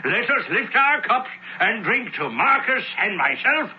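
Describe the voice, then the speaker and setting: A man's voice: very high-pitched, very animated, unhurried, breathy edge, full character voice. An actor playing a fussy, stammering, hospitable wealthy Roman father in a radio drama. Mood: jovial, flustered. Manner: stammering, effusive. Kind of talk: old-time radio drama acting. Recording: muffled old radio recording.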